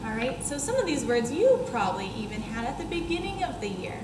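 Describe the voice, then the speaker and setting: A young woman, a first grade teacher, reading a children's book aloud to her pupils in an expressive way and talking to them in questions and explanations. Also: on the high side, brisk. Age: young adult. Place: school classroom, indoors. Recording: roomy classroom echo, clear background noise.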